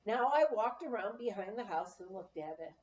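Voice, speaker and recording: A woman reading a memoir aloud as expressive storytelling, acting out the dialogue as she goes. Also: medium pitch, moderate pace. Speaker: audiobook reader. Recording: roomy, slightly dull home recording.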